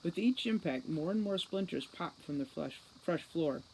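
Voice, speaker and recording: A woman narrating a novel aloud as a storybook reader, in an expressive style. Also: lowish voice, moderate pace, creaky, slight background noise, rough recording. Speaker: audiobook reader.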